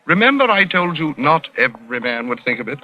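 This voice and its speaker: Animated, ardent radio-drama detective. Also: male voice, a little high, brisk, lo-fi sound. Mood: wry, explanatory. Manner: theatrical, excitable, stammering when flustered. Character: ardent, self-assured male Scotland Yard detective in love. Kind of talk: 1940s radio suspense acting. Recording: vintage radio drama recording.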